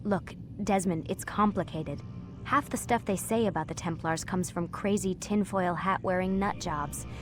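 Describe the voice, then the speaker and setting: An actress playing an earnest young female lab assistant who explains things in a hushed, guarded way. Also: lowish voice, moderate pace, close to an everyday voice. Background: game score music.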